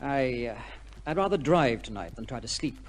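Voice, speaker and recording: A man's voice: mid-range voice, lively intonation, normal speed, a little hiss or hum, natural voice. Clipped, dutiful policeman played by a man. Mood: uneasy, weary. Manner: clipped, formal, plain-spoken. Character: weary, uneasy, dutiful male senior policeman. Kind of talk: old-time radio drama acting.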